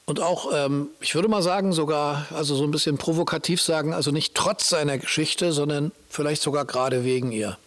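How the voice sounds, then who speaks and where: A man's voice: on the high side, fairly quick, few pauses, a bit bright. A man talking casually and informally about Bible stories, often searching for his words as he explains. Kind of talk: casual conversational Bible discussion.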